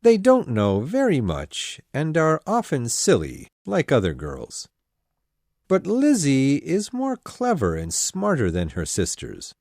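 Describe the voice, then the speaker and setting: A man playing a teasing, stubborn middle-aged husband and father in a storybook, calm, wry and measured. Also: on the high side, vocal fry, no room sound, clean studio sound, heavily acted. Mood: calm, mocking. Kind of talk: storybook dialogue acting.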